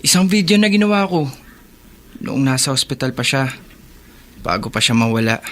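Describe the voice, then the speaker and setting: An actor in a Tagalog radio drama playing an earnest, remorseful older brother who seeks understanding. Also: male voice, mid-range voice, animated, normal speed, a bit bright, natural voice. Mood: pleading.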